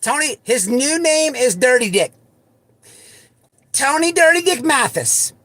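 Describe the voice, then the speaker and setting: A man hosting an unscripted livestream, talking bluntly and repetitively to his viewers, with halting false starts. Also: extremely high pitch, very animated, fairly bright tone, no echo. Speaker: livestream host.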